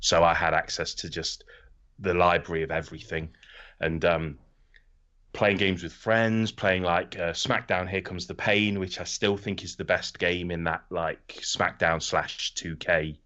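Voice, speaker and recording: A middle-aged man with a low voice hosts a casual podcast. He rambles through his stories and swears freely. The recording is a little dull and lo-fi. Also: little pitch movement, a little slow, fairly bright tone. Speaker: podcast host. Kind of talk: casual podcast chat, telling anecdotes.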